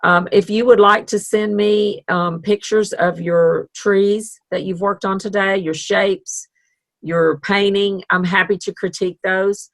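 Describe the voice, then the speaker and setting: A woman's voice: medium pitch, steady tone, a little slow. A woman teaching an online art class over a video call, chatty and upbeat with self-deprecating asides. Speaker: art instructor.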